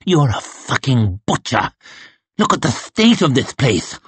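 A man's voice: big pitch swings, a little slow, husky, dry recording, exaggerated voice. A man doing a sneering, crippled torturer. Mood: menacing. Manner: low, quiet, cutting menace. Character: bitter crippled male torturer, cold and sneering.

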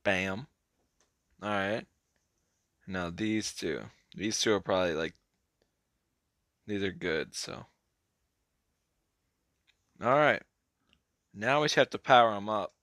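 Slow, hoarse young man mumbling through gameplay. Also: fairly low pitch, a bit bright, dry recording, clean studio sound. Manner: laid-back, mumbled, trailing off. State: hoarse, keeps clearing throat. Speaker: mobile game player. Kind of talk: casual gameplay commentary.

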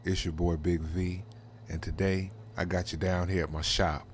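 A man's voice: deep voice, little pitch movement, normal speed. A man hosting casual game role-play commentary in a slangy, rambling way, leaning on conversational tag phrases. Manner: slangy, rambling, frequent "you know what I'm saying". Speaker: host of a drag racing role-play channel. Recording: clear background noise.

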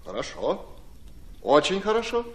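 An actor playing a courteous, businesslike Red Army commander in a radio drama, probing with authority. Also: male voice, high voice, wildly swooping pitch, fairly bright tone, full character voice. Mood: probing, approving. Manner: brisk, questioning, authoritative.

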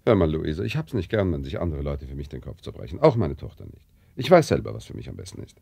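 A very deep, gravelly male voice plays a father in a radio drama. He is a composer, fond yet easily irritated, and his pitch swings in a lively way. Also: fast, no echo, heavily acted. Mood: stern, irritated. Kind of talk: radio play acting.

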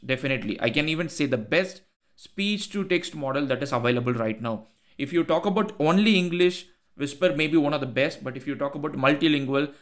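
A man in his thirties with a thick Indian accent, a Tamil speaker, explaining software in a screen-share tutorial over a headset mic. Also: a little high. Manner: earnest, repeats phrases for emphasis. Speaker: tech YouTuber.

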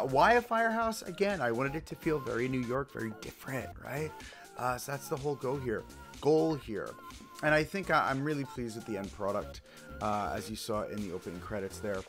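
A man with a voice gone a little raspy and hoarse talks casually over his game build, upbeat and rambling, full of fillers and restarts. His pitch sits in an ordinary middle range. Faint music plays behind him. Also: a bit bright. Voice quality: slightly raspy. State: hoarse from screaming. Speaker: let's play host.

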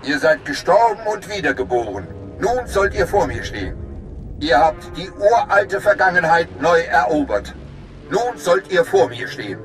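An actor playing a mysterious master of a secret order in a game, speaking in a grand, ceremonial, commanding manner. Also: male voice, fairly high pitch, big pitch swings, moderate pace, music in the background, poor audio. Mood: solemn, ceremonial. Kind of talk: dramatic game voice acting.

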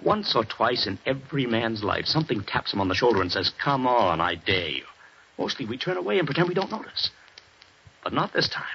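An actor playing a restless diplomat husband in a 1940s radio drama, with lively, clipped, emphatic speech. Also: male voice, medium pitch, brisk, creaky, slight background noise, full character voice. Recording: muffled 1940s radio broadcast with music.

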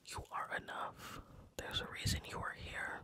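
An ASMR creator whispering guided breathing and affirmations, repeating each phrase in a reassuring way. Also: moderate pace.

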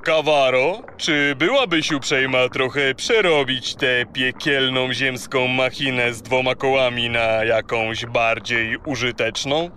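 A proud, gracious king of the sea in a children's audio play. His delivery is slow, theatrical and courtly, with a forceful bright tone. Water noise sits faintly behind him. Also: mid-range voice.

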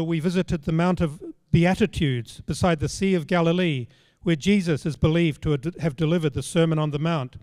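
An older man preaching a sermon on a church stage into a handheld microphone, in a measured storytelling manner. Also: a little high, darkish tone, dry recording, long reverb tail. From Australia. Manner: measured, storytelling, plain spoken.

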